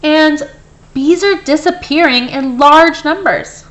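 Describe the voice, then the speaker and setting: Slow, high-voiced woman reading to children. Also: poor audio. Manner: expressive, explaining to young children. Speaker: storybook reader. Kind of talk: children's picture book read-aloud with brief explanatory asides.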